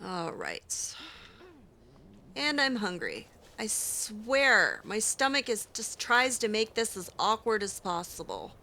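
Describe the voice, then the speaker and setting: A woman's voice: medium pitch, sing-song, takes it slowly, pushed and bright. A woman giving in-character commentary as she plays a video game, with wry, exasperated asides. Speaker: gaming video host playing in character.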